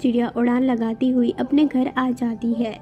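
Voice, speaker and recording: A woman narrates a children's cartoon tale from a script. Her voice is high. The audio is a bit dull, with music running softly beneath. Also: little pitch movement, normal speed.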